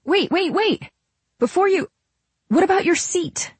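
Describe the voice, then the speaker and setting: A woman's voice: very high-pitched, animated, a little slow, no room sound, pitched above the performer's own voice, full character voice. A woman voicing an eager, persistent young woman who talks in an urgent, informal, pleading way, as in an audiobook. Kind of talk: audiobook character dialogue.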